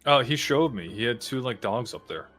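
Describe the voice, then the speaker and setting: A man's voice: mid-range voice, lively intonation, normal speed, fairly bright tone, soft background music. A man playing a tabletop roleplay game, chatting casually as himself and his character.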